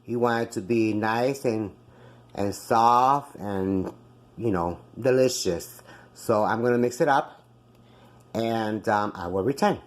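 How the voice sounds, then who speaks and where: A woman's voice: rumbling low, slow pace. Chatty Puerto Rican woman cooking at home. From Puerto Rico. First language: Spanish. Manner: chatty, keeps calling out to viewers as guys. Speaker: home cooking show host. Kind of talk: casual cooking demonstration. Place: home kitchen.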